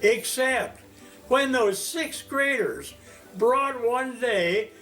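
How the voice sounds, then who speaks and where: A man of one hundred from Indiana, recalling his life as a teacher in a slow, storytelling interview. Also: faint music behind. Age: 100s. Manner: slow, storytelling, animated pitch swings. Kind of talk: reminiscing interview.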